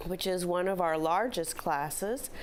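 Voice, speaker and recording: A middle-aged woman, a philanthropy director, slightly hoarse, giving upbeat, chatty announcements in a studio interview. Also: fairly low pitch, a little slow. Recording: faint background noise.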